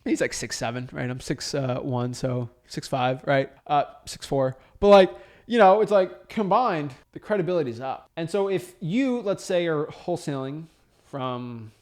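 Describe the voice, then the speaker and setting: Energetic young man coaching emphatically. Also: fairly high pitch, measured pace. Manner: emphatic and energetic, keeps checking in with "right?". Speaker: real estate wholesaling coach. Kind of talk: motivational teaching talk to camera.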